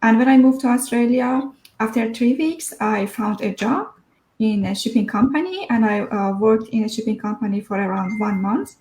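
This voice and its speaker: A woman's voice: a little high, expressive, moderate pace, darkish tone, roomy sound, poor audio. A woman, telling her personal story as an interview guest, hesitant and full of fillers. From Iran.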